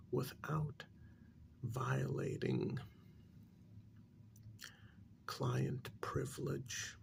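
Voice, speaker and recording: A man playing a patient but exasperated couples therapist in a soft-spoken ASMR role-play, measured and gently reproving, with a gravelly, sometimes breathy voice. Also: medium pitch, slight background noise, heavily acted. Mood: calm explaining.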